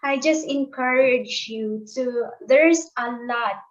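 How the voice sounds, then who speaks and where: A woman speaks in short, gentle phrases over a video call. Her voice is a little high and comes through dull and lo-fi. She sounds reassuring and kind. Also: slow. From Philippines. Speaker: community organization staff member.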